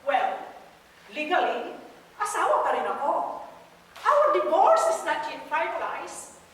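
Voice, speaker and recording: A woman's voice: high-pitched, very animated, measured pace, fairly bright tone, full character voice. A woman acting a vain, romantic, gossipy senior in a stage play, with a Filipino accent and a theatrical delivery. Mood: defensive. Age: older. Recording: echoey hall, far mic, noisy.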